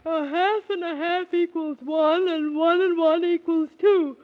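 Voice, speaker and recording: A woman's voice: very high-pitched, steady tone, measured pace. A woman playing a cheeky, argumentative little male imp who talks in rhyming nonsense in a 1930s children's radio serial. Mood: cocky. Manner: rhyming nonsense patter, contrary. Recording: muffled old 1930s radio recording.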